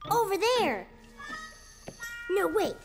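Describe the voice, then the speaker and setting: A woman's voice: very high-pitched, very animated, takes it slowly, creaky, over music, full character voice. A woman voicing an eager, sweet, easily worried four-year-old boy in a preschool cartoon. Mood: eager, earnest.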